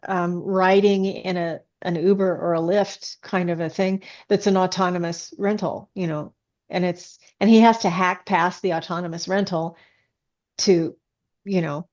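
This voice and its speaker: A woman guest author chatting casually in an interview, haltingly, often thinking aloud as she explains. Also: lowish voice, fairly even intonation, a little mellow, muffled recording. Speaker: guest science fiction author.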